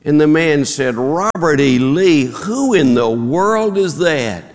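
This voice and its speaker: A man's voice: on the high side, expressive, takes it slowly. A man with a Southern accent preaching to his congregation, telling folksy jokes in a drawl and acting out the voices in them. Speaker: pastor. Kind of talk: sermon with folksy joke-telling. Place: church auditorium. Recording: long reverb in a large hall.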